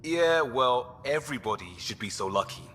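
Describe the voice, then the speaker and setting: A man's voice: animated, normal speed, bright, forceful tone. An actor playing an upbeat, easygoing, tech-loving crewman in a video game, with a casual, breezy delivery. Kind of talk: video game cutscene acting. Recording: long reverb, faint rumbling noise.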